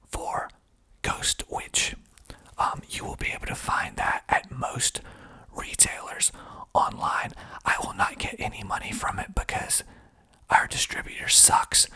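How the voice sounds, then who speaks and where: Whispering American man, very breathy ASMR. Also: low-pitched, bright, forceful tone. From Georgia, United States. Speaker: host, filmmaker. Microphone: close microphone.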